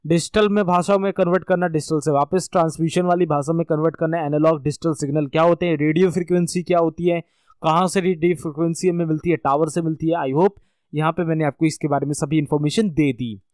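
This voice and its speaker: Higher-voiced Indian man teaching, dry sound. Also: steady tone, quick pace, a little mellow, dry recording. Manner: repeats and rephrases points, checks understanding. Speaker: networking course instructor. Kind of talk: informal explanatory lecture.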